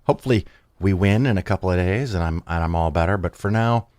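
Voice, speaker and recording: A man's voice: low voice, fast, darkish tone, dry recording, clean studio sound. A man hosting his own show and talking casually, rambling and correcting himself while he has a cold. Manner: rambling, self-correcting, chatty. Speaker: podcast host. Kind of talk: casual solo talk.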